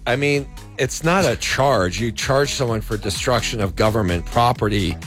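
A man's voice: mid-range voice, moderate pace, a bit bright, soft background music. A man, a law professor, giving legal commentary on talk radio, arguing his points with examples. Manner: argumentative, explains with examples. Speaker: law professor, co-host guest.

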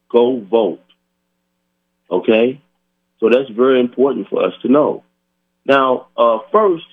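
A hoarse man hosting a community radio talk show, earnestly urging listeners with many fillers. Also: lowish voice. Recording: tinny phone-like radio audio.

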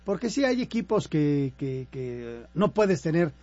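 A man's voice: a little high, big pitch swings, a little slow, darkish tone, no echo, dull audio. A man discussing football casually on a sports talk show, with hesitant restarts.

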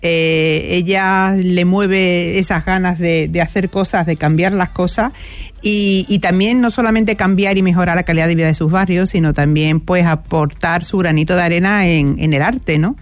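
A woman co-hosting a radio chat who speaks slowly and stops to search for words. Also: on the low side, dry recording.

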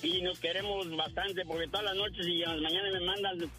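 A man calling in to a radio show, chatting casually in a halting, repetitive way. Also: sits high, fairly even intonation, pushed and bright, faint music behind. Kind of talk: casual call-in chat.

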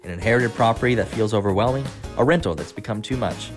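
A man's voice: fairly low pitch, fast. A man reading a scripted radio ad for his own business in an earnest, folksy way. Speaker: business owner in an ad. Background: guitar music.

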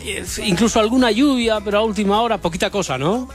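A man's voice: high-pitched, fast talker, faint music behind. A man with an accent from Spain hosting a casual morning radio show.

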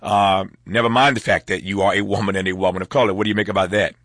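A man hosting a radio interview, asking long, halting, reflective questions. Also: fairly low pitch, little pitch movement, fairly bright tone, dry recording, clean studio sound. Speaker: talk show host.

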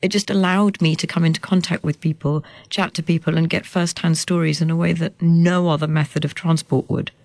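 Animated woman guest. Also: brisk, hardly any pauses, dry recording. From United Kingdom. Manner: animated, bright laughter, lively pitch swings. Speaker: journalist and author guest. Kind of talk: conversational interview.

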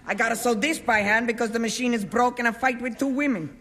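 An actress playing a fiery, tearful middle-aged seamstress with an Italian accent, who speaks blunt, emotional broken English. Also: female voice, a little high, fast, a bit bright, big-room sound. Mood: gentle. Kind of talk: 1950s film drama acting.

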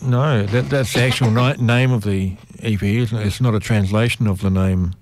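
A man's voice: fairly low pitch, fairly even intonation, moderate pace, background noise. A man working as an interviewer, asking casual questions in a conversational way and rephrasing them as he goes.

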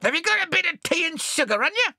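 Very high, insolent parrot. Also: male voice, big pitch swings, fast, creaky, sharp tone, no echo, studio quality, exaggerated voice. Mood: wheedling. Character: insolent, peevish, evil-minded male parrot.

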